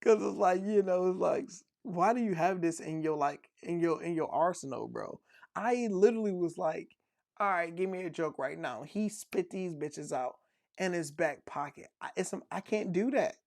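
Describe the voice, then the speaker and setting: A man with a gravelly, fry-edged voice cracks dad jokes on a casual sports podcast, chuckling at his own punchlines. His voice is recorded close and dry, with no room around it. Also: sits high, lively intonation, moderate pace, darkish tone, no room sound. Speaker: podcast co-host.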